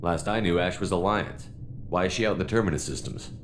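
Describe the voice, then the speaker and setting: A man's voice: sits low, fast, slight background noise, lightly acted. A man playing a resolute military commander in a video game, with a direct, businesslike way of talking.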